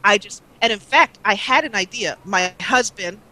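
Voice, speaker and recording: A woman's voice: medium pitch, expressive, moderate pace, pushed and bright, poor audio. A young woman, a guest on an interview show, chatting casually with lots of fillers and restarts. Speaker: guest, let's player.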